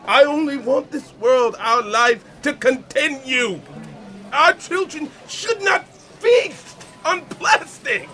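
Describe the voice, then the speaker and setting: Older male crusader, pompous, preachy oratory, very animated delivery, radio play. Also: very high-pitched, slow pace, faint music behind, heavily acted. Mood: impassioned, anguished. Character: zealous, pompous older father, impassioned moral crusader. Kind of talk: audio drama acting.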